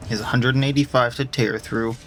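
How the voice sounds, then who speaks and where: A man's voice: mid-range voice, fairly even intonation, fairly quick, noisy background. A man losing his voice, casually talking through his game moves as he plays. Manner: muttered tactical thinking aloud. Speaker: gamer. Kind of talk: casual gameplay commentary. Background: game music.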